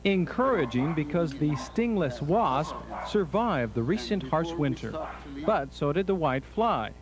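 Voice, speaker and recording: A man's voice: on the high side, sing-song, a little slow. A man narrating a scripted television news report.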